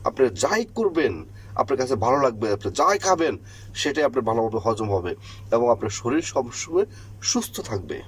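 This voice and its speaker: Animated man with a husky voice. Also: mid-range voice, a little fast. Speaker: health tips presenter. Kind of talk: informal explainer talk to viewers.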